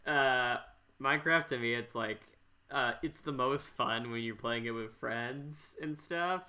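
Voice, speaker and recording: Young man, very bright sharp voice, medium pitch, halting delivery, casual podcast host. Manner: halting, often repeating words.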